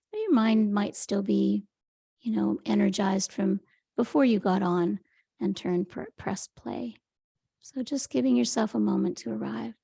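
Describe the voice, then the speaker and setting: Soft, creaky woman guiding meditation. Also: mid-range voice, no echo, studio quality. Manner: gentle, unhurried, soothing instruction. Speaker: meditation teacher. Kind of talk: guided meditation, Yoga Nidra.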